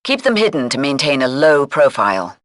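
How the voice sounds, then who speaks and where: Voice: medium pitch, very animated, fairly quick, no room sound, clean studio sound, lightly acted. An actor voicing a stern British intelligence chief in a video game, giving mission orders in a formal, clipped, authoritative manner. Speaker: mission briefer. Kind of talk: scripted video game voice acting.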